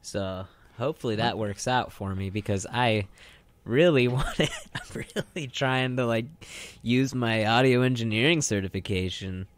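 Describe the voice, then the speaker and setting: A man's voice: medium pitch, moderate pace, no echo. A young American man chatting casually as co-host of a college radio show. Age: young adult. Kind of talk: casual chat.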